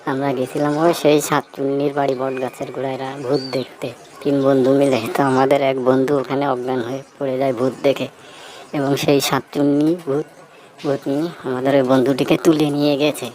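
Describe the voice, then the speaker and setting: Storyteller voicing flat-toned, frightened young villager. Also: mid-range voice, normal speed, close to an everyday voice. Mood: anxious.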